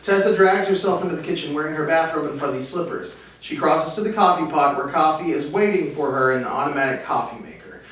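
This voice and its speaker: A man's voice: fairly high pitch, quick pace, hardly any pauses, fairly bright tone. Echoey male stage-direction reader. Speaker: narrator reading stage directions. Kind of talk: scripted narration at a table read. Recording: very echoey room, distant mic, faint hiss.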